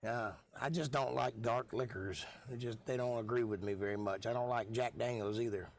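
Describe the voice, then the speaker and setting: Hoarse middle-aged man chatting. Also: mid-range voice, steady tone, moderate pace, no room sound. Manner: laid-back, chatty, folksy. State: losing his voice, hoarse. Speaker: live stream host and musician. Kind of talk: casual live-stream chat with viewers.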